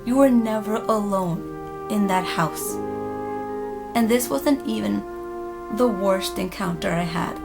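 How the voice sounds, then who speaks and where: A woman telling a spooky personal story casually, in a dramatic, emphatic manner with pauses. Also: mid-range voice, gravelly. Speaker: storytime vlogger. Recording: music playing behind the voice. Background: background music.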